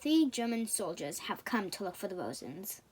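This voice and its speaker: A woman's voice: medium pitch, sing-song, moderate pace. A woman reading scripted story narration for a puppet show, in a slightly noisy home recording.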